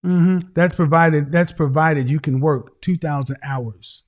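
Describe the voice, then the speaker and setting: A man's voice: on the high side, unhurried. A middle-aged man hosting a call-in advice show, lecturing a caller in a harsh, repetitive way over a video call. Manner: harsh, lecturing, repeats phrases. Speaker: host.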